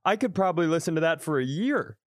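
Seorang pria co-host obrolan komedi santai, menanggapi dengan celetukan jenaka dan sinis. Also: male voice, fairly high pitch, expressive. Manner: quick wry reactions, frequent laughter. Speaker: podcast co-host. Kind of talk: casual comedy chat, reacting.

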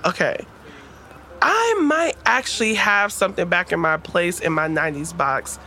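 A woman acting in an audio drama as a sassy, guarded but soft-hearted young woman, with slangy, teasing, expressive delivery. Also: fairly low pitch, normal speed, slight background noise. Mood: exasperated.

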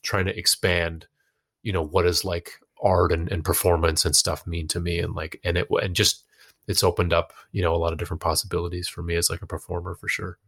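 A man with a notably low voice and an American accent speaks as a guest in a casual interview. His phrasing is informal and loose, circling back and feeling for words as he goes. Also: little pitch movement, a little fast, a bit bright, husky. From United States. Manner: informal, hesitant phrasing with frequent "like" and "you know". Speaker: interview guest, actor and musician.

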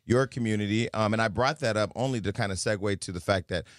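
A male podcast host leads a relaxed interview, talking in a loose, chatty way with lots of "like" and "so". His voice sits on the low side, recorded close and dry. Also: dry recording. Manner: loose, chatty, drawn-out fillers. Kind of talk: casual interview talk.

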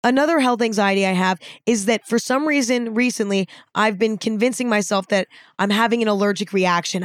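A young woman in her twenties with a raspy voice, talking frankly and casually on her own podcast, with some swearing. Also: mid-range voice, dry recording, studio quality. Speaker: podcast host. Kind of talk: candid solo podcast talk.

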